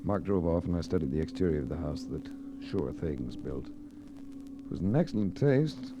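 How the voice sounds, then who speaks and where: A man's voice: sits low, lively intonation, moderate pace, gravelly. An American man playing a cool, wry private investigator in a 1950s radio drama, with a dry, sardonic manner. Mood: wry narration. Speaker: lead actor and first-person narrator. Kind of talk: 1950s radio detective acting. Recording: muffled, noisy vintage radio recording.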